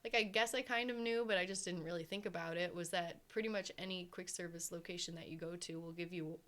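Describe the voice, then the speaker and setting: A woman co-hosting a podcast in casual chat, talking in a rambling, animated way and acting out what others said. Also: fairly low pitch, quick pace. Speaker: podcast co-host.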